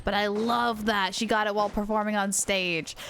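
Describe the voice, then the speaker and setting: A woman livestreaming a game, chatting casually with viewers in an excitable, gushing way. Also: medium pitch, normal speed, a little hiss or hum. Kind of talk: casual live stream commentary and chat. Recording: game music behind voice.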